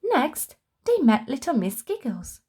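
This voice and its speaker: A woman reading a children's storybook aloud with expressive, deliberate narration for young listeners. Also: high-pitched.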